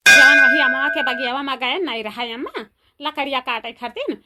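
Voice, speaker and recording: A woman's voice: sits high, moderate pace, heavy background noise, natural voice. A woman with an Awadhi accent playing a scolding older village mother-in-law in a comedy cartoon, firing repeated rhetorical questions. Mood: agitated, threatening.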